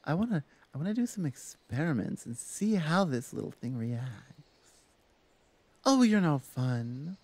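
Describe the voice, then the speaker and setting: A man's voice: a little high, very animated, measured pace, darkish tone, husky, heavily acted. A man playing a curious, self-important female orc shaman, an insistent sister in an ASMR roleplay drama. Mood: eager, indignant.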